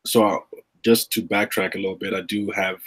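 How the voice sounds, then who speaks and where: A middle-aged man from Uganda, a retired basketball player, answering podcast questions in a relaxed, halting way with many fillers. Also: sits low. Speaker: guest, retired pro basketball player and agent. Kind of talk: podcast interview.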